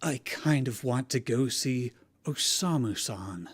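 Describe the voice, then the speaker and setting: Young male anime athlete, grieving and wistful, hushed plaintive delivery, read by a man. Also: medium pitch, expressive, slow pace, slightly rough. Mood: subdued, wistful. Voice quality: hushed, sometimes whispered. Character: grieving, lovesick young male anime volleyball player at home.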